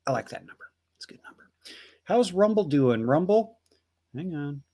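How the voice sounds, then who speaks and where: Lively-voiced man hosting a livestream. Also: on the high side, normal speed. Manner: chatty, swears casually. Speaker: livestream host reading donations. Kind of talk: casual livestream chat.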